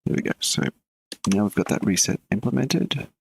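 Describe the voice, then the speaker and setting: A man's voice: fairly low pitch, moderate pace, creaky, slight background noise. A man streaming a live coding session, thinking aloud as he works and chatting casually with his viewers. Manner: relaxed, mutters while working, chats with viewers between code. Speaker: game developer streaming live.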